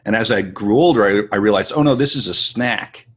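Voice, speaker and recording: Man, from North Dakota, podcast host, very low voice, husky, reflective, rambling. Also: a little fast, a bit bright. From western North Dakota. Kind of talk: casual conversation.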